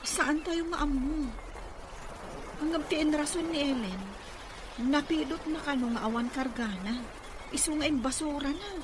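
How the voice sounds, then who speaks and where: Woman acting high girlish sweetheart in an audio drama, earnest and loyal, noisy background. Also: moderate pace, long pauses, close to an everyday voice. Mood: affectionate. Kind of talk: Ilocano radio-style drama acting.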